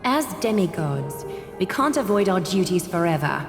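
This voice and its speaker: Solemn demigod in echoing hall. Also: female voice, lowish voice, sing-song, moderate pace. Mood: solemn, stern. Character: solemn, dutiful female demigod. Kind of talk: video game voice acting. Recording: echoing hall reverb under music.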